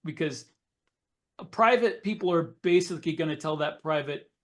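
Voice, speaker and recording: A middle-aged man talks as a podcast co-host in a casual, explanatory way. His voice is slightly high for a man. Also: moderate pace.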